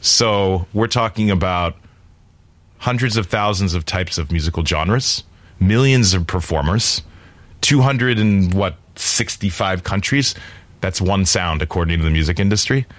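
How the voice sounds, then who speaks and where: Deep-voiced man explaining earnestly. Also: unhurried, fairly bright tone, dry recording. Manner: earnest, expansive explaining with fillers. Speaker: music nonprofit founder. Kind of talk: interview conversation.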